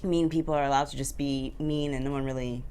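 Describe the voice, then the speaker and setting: A woman with a low-pitched voice talks casually as a panelist on a discussion show. She slips into acted-out remarks as she makes her points. There is light background noise around her. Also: steady tone, fast, dark tone.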